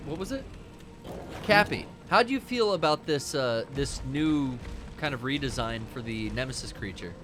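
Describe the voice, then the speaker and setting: A man co-hosting a game stream in casual chat, animated and swearing freely as he plays. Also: mid-range voice, moderate pace, soft background music. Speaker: stream co-host playing the game.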